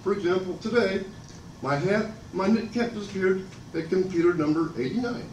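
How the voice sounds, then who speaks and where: Booming, abrasive older man, far off. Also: normal speed. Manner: loud and booming, abrasive, rambling and aggrieved. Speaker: member of the public giving comment. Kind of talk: public comment at a meeting. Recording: echoey room from far off, noisy.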